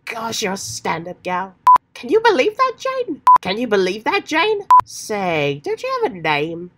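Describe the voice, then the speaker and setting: A woman voicing an earnest, excitable, old-fashioned adventurous teenage boy with hammy, folksy slang, while getting over a cold. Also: a little high, a little slow. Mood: excited. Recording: faint background noise.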